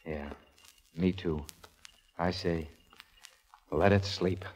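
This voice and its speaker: Easygoing young sailor. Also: male voice, deep voice, fairly even intonation, very slow, over loud music. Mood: shy, tender. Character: easygoing, earnest young male sailor in love. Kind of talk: 1940s Hollywood radio acting.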